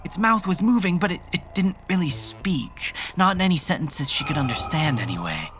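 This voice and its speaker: A narrator reading scripted horror fiction in a measured, literary storytelling manner. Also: medium pitch, expressive, music in the background. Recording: faint noise and ambience behind voice.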